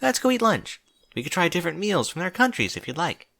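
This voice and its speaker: A man's voice: high-pitched, very animated, a little fast, creaky, a bit bright, pitched above the performer's own voice, exaggerated voice. A man voicing a perky young female pony pupil who consoles and encourages in a chirpy manner for a story. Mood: cheerful, consoling.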